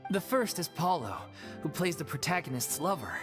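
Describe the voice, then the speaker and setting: Casting: earnest troupe woman in a video game, polite explainer, low register, sweeping intonation. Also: sing-song, moderate pace, faint music behind. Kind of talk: scripted video game acting.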